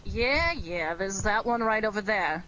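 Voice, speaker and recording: A woman's voice: mid-range voice, lively intonation, unhurried, dull audio, a loud crowd, natural voice. A woman playing a wry, exclamatory young sweetheart in a 1940s-style radio detective drama, loyal and easily flustered. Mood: wry, sarcastic.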